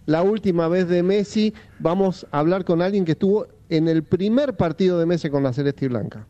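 A man giving a steady radio rundown of the day's events. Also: sits high, a little fast, dull audio. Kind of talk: radio segment preview.

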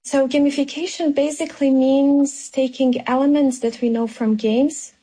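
A woman's voice: high voice, fairly even intonation, normal speed, dark tone. A woman researcher giving an explanatory interview answer.

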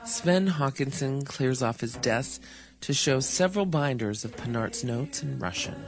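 A man reading scripted documentary narration in a measured, even way. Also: medium pitch, soft background music.

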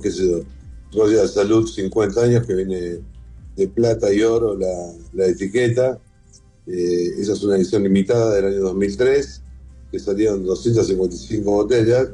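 A middle-aged Argentine man speaks in a very dark, rough and husky voice, on the low side. He answers interview questions conversationally, with music playing behind him. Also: steady tone, normal speed. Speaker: interview guest, whisky museum founder and collector.

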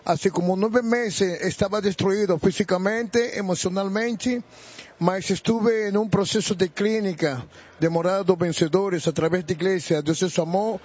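A native Spanish-speaking man with a Bolivian accent giving religious testimony haltingly, mixing in Spanish words. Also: high voice, darkish tone, slightly rough, muffled recording. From Bolivia, Santa Cruz de la Sierra. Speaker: church member giving testimony. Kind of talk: religious testimony in a live interview.

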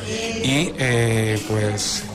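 A Venezuelan man who leads a community association, answering interview questions earnestly and proudly, pausing on fillers. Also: mid-range voice, big pitch swings, loud music behind the voice, low-quality recording. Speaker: association leader.

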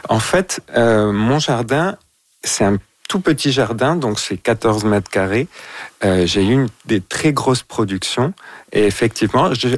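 A man from Mexico, a gardener guest on a radio interview, speaking in a relaxed, hesitant way with many fillers. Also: medium pitch, a little slow, dry recording.

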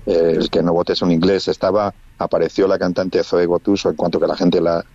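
A low-voiced man from Spain chats through his report, often restarting and filling pauses. The sound is muffled and lo-fi, like radio audio. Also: little pitch movement, darkish tone. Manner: conversational, many restarts and fillers. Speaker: radio correspondent.

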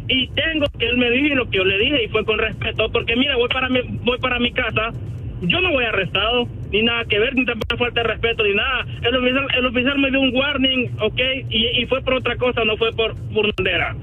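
A man's voice: very high-pitched, a little fast, a little hiss or hum. A man from Honduras, calling in to a radio show and defending himself. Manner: defensive, insulting back. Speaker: caller. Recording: tinny phone line.

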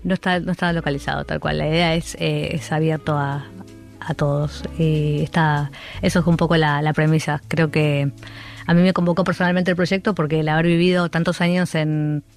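A woman's voice: sits low, little pitch movement, normal speed, soft background music. A woman from Argentina with a Rioplatense accent, a guest who speaks in a casual interview with many fillers and restarts. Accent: Rioplatense Spanish. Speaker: art curator guest.

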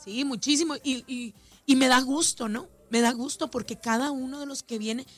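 A woman's voice: sits high, normal speed, a bit bright, soft background music. A woman with a northern Mexican accent speaking as an event organizer in a conversational interview, talkative and emphatic, repeating phrases for stress. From Ciudad Juárez, Mexico. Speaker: festival organizer being interviewed. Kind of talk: conversational radio or media interview.

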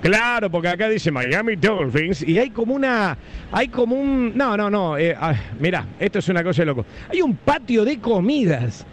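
A man's voice: high-pitched, very animated, normal speed, a bit bright, slight background noise. A man with an Argentine accent reporting live from outdoors at a stadium, chatty and rambling, full of fillers and restarts. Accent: Argentine Rioplatense. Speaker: field reporter. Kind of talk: excited live walkabout reporting.

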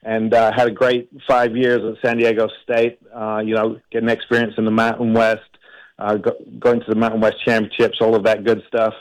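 Coach, flat even pitch, earnest guest on a radio call. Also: male voice, moderate pace, poor audio. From England. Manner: grateful, earnest, flowing storytelling. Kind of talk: radio interview answers.

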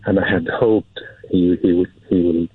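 A man's voice: low-pitched, steady tone, normal speed, husky, no room sound. A man from Syria, a film producer speaking as an interview guest, hesitant and repeating words for emphasis. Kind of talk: casual interview talk. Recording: dry, phone-like narrow band.

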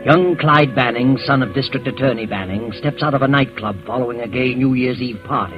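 A man's voice: medium pitch, lightly acted. An American man playing an all-knowing, mysterious narrator in a 1940s radio suspense show, taunting and dramatic. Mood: brisk storytelling. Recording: muffled old radio broadcast with music.

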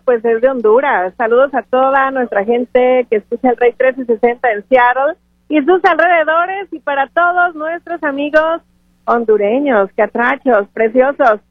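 A woman's voice: sits high, normal speed, dry recording. A woman hosting a live radio interview in an effusive, encouraging manner. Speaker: radio host interviewing at an event. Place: live remote broadcast from a conference. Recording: phone-like radio broadcast sound.

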